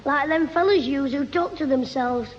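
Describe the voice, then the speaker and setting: Cheeky schoolboy schemer, very high voice, Lancashire accent, vintage radio comedy, reverberant recording. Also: male voice. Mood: scheming. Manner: cheeky, wheedling, quick with backchat. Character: cheeky, scheming working-class English schoolboy. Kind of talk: 1960s radio sitcom acting. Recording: reverberant, muffled, hissy 1960s radio recording.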